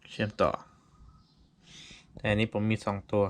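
A man giving a casual hands-on tutorial, explaining informally step by step in short phrases. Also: moderate pace. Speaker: vlogger giving a product tutorial.

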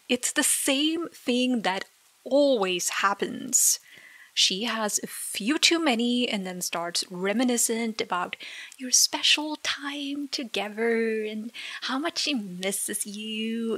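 A jealous, wounded girlfriend acted by a woman in an audio roleplay, her voice a little high-pitched. She argues slowly and haltingly, exasperated and sarcastic, in a close, dry recording with rain behind her. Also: a bit bright, slightly rough, dry recording, heavily acted, rough recording. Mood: bitter, mocking. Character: jealous, hurt young girlfriend arguing with partner. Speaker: ASMR roleplay performer. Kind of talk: ASMR girlfriend roleplay, dramatic monologue.

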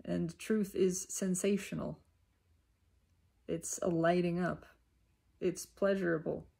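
Husky, creaky woman musing slowly. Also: fairly low pitch, fairly even intonation, dark tone. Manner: halting short phrases with deliberate repetition. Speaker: self-reflective spiritual vlogger. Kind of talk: unscripted reflective spiritual monologue.

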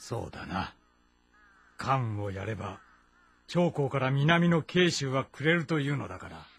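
A man playing a composed, calculating ruler with a gravelly voice in a historical drama. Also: mid-range voice, lively intonation, a little slow, fairly bright tone. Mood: deliberate. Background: background music.

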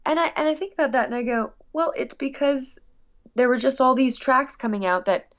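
A woman's voice: high-pitched, brisk, fairly bright tone. Woman musician chatting, slightly dull audio. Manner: long-winded, rambling and earnest. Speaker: guest musician. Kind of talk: casual interview chat.

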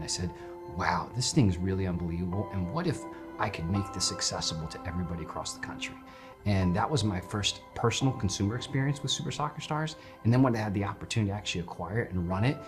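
A man speaking passionately and conversationally as a company executive in a promotional interview. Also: low voice. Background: music.

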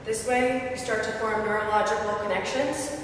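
A young woman, a fitness trainer, calmly explaining exercise technique as she demonstrates. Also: on the high side, normal speed, slight background noise. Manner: clear, steady coaching while demonstrating exercises. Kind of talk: instructional demonstration. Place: large gym with hard walls. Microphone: far camera. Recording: echoey gym from far off.

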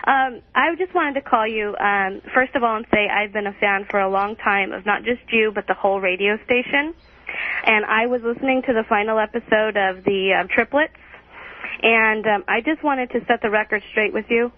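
A woman's voice: medium pitch, moderate pace. A woman calling into a radio show and chatting casually. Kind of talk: casual phone-in chat. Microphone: telephone. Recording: dry, tinny phone line.

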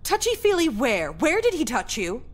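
High, animated, blunt schoolgirl's mother. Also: normal speed, heavily acted. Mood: suspicious. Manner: blunt, dramatic. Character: suspicious, protective, brash middle-aged mother of a schoolgirl. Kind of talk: visual novel voice acting.

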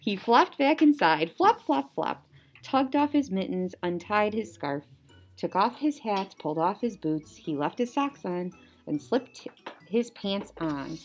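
A young woman reading a children's story like a teacher, animated, with playful sound words. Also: mid-range voice, measured pace, faint music behind. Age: young adult. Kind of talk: children's story read-aloud. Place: small indoor classroom or office.

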